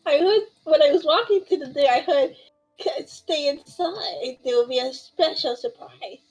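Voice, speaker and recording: A woman chatting casually while playing a game with a friend, speaking in short, halting reactions. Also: high voice, darkish tone, soft background music, low-quality recording. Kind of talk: casual gameplay chat.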